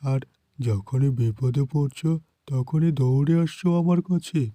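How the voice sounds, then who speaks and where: A man's voice: medium pitch, measured pace, warm tone, no echo, close to an everyday voice. A man voicing a harsh, greedy village landlord in a cartoon, scolding with stern scorn. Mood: stern, scornful.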